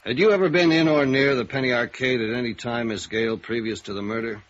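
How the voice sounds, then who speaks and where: A man's voice: medium pitch, fairly even intonation, quick pace, natural voice. An American man acting a persistent, methodical police sergeant in a 1950s radio crime drama. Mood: questioning, firm. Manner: plain, methodical questioning. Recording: dull, muffled old radio recording.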